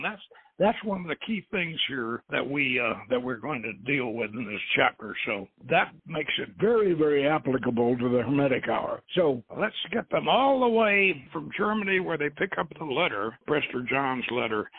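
A man hosting and reading a novel aloud in an informal, halting way, repeating words as he goes. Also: medium pitch, gravelly, slight background noise. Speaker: host, reader. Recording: muffled narrowband radio-like audio.

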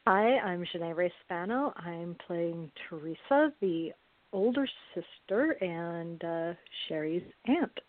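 A woman's voice: fairly low pitch, animated, slow. A woman guest actress talking casually on a chat show. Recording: thin, dry phone-like line.

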